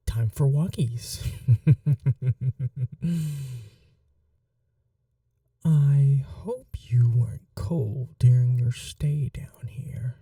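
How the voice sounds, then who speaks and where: Casting: woman to play possessive vampire mistress for ASMR roleplay, very soft dark tone, slow teasing delivery, breathy whispers. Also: a bass voice, no room sound, clean studio sound, recorded from a distance, heavily acted. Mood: coaxing, playful, then tender, regretful. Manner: teasing, coaxing, unhurried. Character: cruel, doting vampire mistress toying with her captive.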